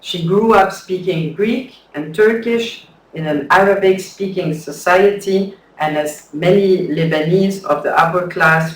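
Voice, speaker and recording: Careful academic man reading a paper at a slow pace, faint room noise behind. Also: fairly high pitch. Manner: measured, careful, with some hesitant restarts. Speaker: conference speaker. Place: lecture hall. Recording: echoey hall, distant mic.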